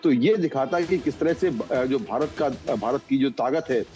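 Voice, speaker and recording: Halting male correspondent, dark mellow voice. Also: medium pitch, faint music behind. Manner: halting, repetitive, searching for words. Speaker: news correspondent. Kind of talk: unscripted live news analysis.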